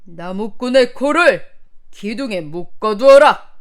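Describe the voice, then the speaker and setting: A woman voicing a stern, imperious male ruler of heaven in a fairy tale, with a commanding, forceful manner. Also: on the high side, animated, slow. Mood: furious.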